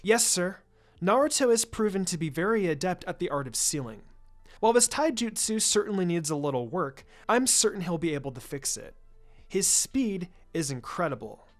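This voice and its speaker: A woman's voice: on the low side, very animated, normal speed, faint music behind. A woman voicing a polite, earnest young ninja girl with a formal, careful manner in a story.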